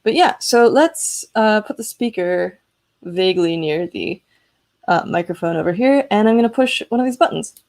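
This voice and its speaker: A woman's voice: mid-range voice, animated, moderate pace, creaky, a little mellow. A woman hosting a casual live electronics demo, chatty and enthusiastic as she explains hardware. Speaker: host of an electronics prototyping livestream.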